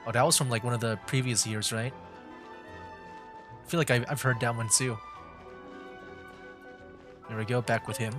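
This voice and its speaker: A man's voice: medium pitch, fairly even intonation, moderate pace, pauses often. A man streaming a video game, giving informal, rambling commentary as he reacts to what happens. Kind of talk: casual livestream commentary. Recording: game music behind the voice. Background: game music.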